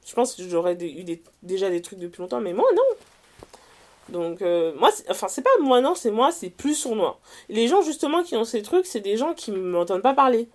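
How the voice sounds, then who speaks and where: Casting: young woman, French accent from France, mid-pitched voice, conversational interview guest, animated, slangy delivery that restarts and reworks its phrases. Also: normal speed. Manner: animated, slangy, often self-correcting. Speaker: interview guest, writer and columnist. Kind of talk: casual conversational testimony.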